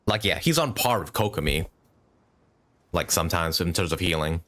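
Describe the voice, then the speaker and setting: Low-voiced, animated man live-streaming a game. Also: moderate pace, slight background noise. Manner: thinks aloud, swears casually. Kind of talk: casual live gameplay commentary.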